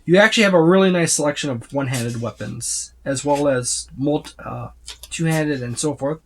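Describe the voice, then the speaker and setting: A man's voice: on the high side, moderate pace, a bit bright. A man giving a casual, unscripted walkthrough of a book, rambling and often listing items one by one. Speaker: tabletop gaming reviewer.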